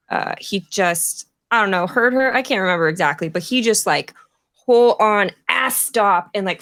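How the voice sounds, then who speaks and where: A woman's voice: fairly low pitch, a bit bright, no room sound, clean studio sound. A woman hosting a theater live-stream chat, talking casually in an informal, wry way.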